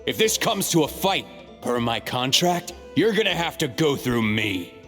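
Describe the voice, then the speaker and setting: Zimnego windykatora-najemnika, średni głos, żywa intonacja, muzyka w tle. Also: male voice, medium pitch, big pitch swings, measured pace, a bit bright. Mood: mocking. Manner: mocking, businesslike. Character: cold mercenary male debt collector. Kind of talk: game cutscene voice acting. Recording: game audio with music.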